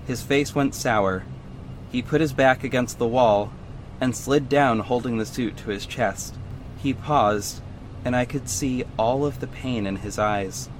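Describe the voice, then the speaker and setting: An actor playing an earnest superhero who narrates his own story in a measured, serious audio drama style. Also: medium pitch, a little hiss or hum, natural voice, poor audio. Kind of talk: scripted first-person audio drama narration.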